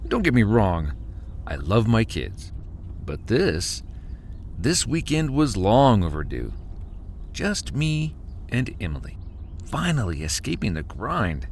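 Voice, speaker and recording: A man playing a weary husband and father, narrating a horror audio drama in the first person with dramatic pauses. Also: on the low side, huge pitch swings, a little hiss or hum, heavily acted. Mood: wistful, relieved. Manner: slow, dramatic storytelling with heavy pauses. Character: weary husband and father recounting a terrifying cabin getaway. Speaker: narrator and actor.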